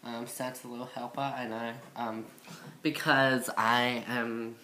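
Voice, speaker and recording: A young woman with a low, masculine-sounding voice and a slight cold, chatting casually to camera in a rambling, self-conscious way. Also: flat delivery, measured pace, bright, forceful tone, slight background noise. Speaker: vlogger. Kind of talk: casual chat to camera, transition update. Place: indoor room by a window. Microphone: built-in device mic at arm's length.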